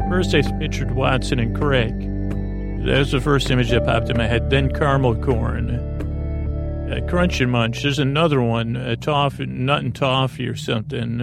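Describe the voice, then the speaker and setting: Creaky-voiced man rambling to lull listeners. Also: medium pitch. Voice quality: creaky, lulling tone. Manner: halting, digressive, self-interrupting. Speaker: host of a bedtime sleep podcast. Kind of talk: rambling, meandering bedtime monologue.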